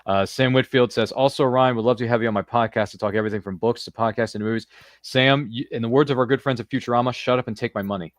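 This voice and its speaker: A male podcast host with a slightly low voice, recorded close and dry. He chats casually in a joking way, repeating himself, tossing in pop-culture references and reading comments from viewers. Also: steady tone, fast, dry recording. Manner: jokey, pop-culture quoting, repeats phrases.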